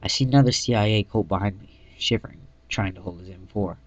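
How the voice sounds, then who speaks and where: A man giving an amateur dramatic reading of his own story, halting and often stumbling and correcting himself. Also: lowish voice. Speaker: reader of own fan fiction. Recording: dull lo-fi mic in echoey room.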